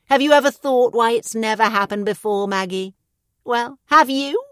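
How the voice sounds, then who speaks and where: A man's voice: sits high, big pitch swings, moderate pace, no room sound, studio quality. A woman reading the lines of a spiteful middle-aged man who taunts with sarcasm. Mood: mocking.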